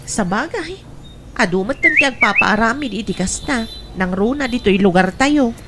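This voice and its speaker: Animated Filipino woman acting in radio drama, noisy. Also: fairly high pitch, normal speed, lightly acted. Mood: concerned. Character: fretful, wistful unmarried sister.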